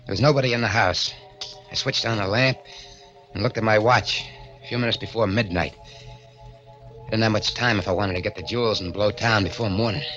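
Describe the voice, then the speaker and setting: A jittery small-time crook, as a man acts him out, talking in tough-guy slang and sounding cornered. He speaks at a middle pitch through a muffled old radio broadcast, with music playing behind him. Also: a little fast, long pauses, fairly bright tone, close to an everyday voice. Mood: fearful. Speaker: radio drama lead and narrator. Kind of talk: 1940s radio acting.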